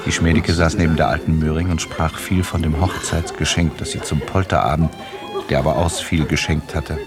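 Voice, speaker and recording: A man's voice: extremely low pitch, steady tone, moderate pace, over loud music. A man reading scripted literary narration as the narrator of a radio play.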